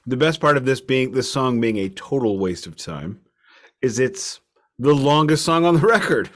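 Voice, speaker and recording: A man's voice: medium pitch, animated, moderate pace. Man, mid-range voice, wry, emphatic, discursive, casual album podcast co-host.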